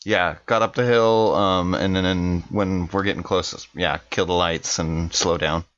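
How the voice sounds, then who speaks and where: A man's voice: low-pitched, steady tone, measured pace, fairly bright tone, dull audio. A man playing a tabletop roleplaying game, talking casually as he describes what his character does. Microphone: microphone.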